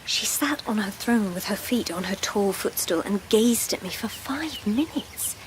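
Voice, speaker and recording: A woman's voice: medium pitch, animated, a little slow, a little hiss or hum, close to an everyday voice, rough recording. An actress playing a wry court lady in a radio drama, conversational and pointed.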